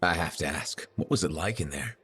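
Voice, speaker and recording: A man's voice: extremely low pitch, expressive, fairly quick, bright, forceful tone, husky, soft background music, heavily acted. A man voicing a dry, easygoing male mercenary freelancer in a video game.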